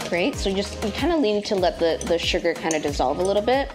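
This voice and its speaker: A woman in her thirties hosting a casual cooking and tech vlog from her home kitchen, chatty and relaxed, with plenty of fillers. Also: mid-range voice, fast, over music. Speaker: host and tech sales lead.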